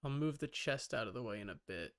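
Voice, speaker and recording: A man's voice: mid-range voice, lively intonation, quick pace, a little hiss or hum. A man giving casual live gaming commentary, muttering to himself and chatting to viewers, often repeating his words. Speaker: game streamer.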